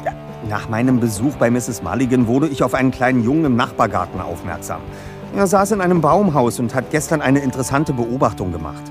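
A man's voice: medium pitch, lively intonation, fairly quick, music in the background, lightly acted. A man playing a self-assured, bookish teenage detective leader in a German audio drama, speaking precisely and formally. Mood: businesslike. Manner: precise, bookish, matter-of-fact.

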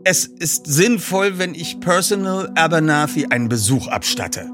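A precocious boy detective, voiced by a male reader, composed and persistent, stretching out formal know-it-all phrases in a storybook reading. His intonation is animated and pitched up, with music behind. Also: measured pace. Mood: measured. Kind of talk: audiobook dialogue.

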